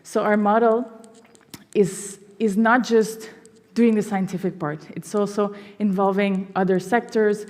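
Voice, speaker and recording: A woman's voice: medium pitch, steady tone, a little slow, darkish tone. A woman from Belgium giving an enthusiastic public presentation for a foundation, peppering her talk with "you know". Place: symposium hall. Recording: long hall reverb.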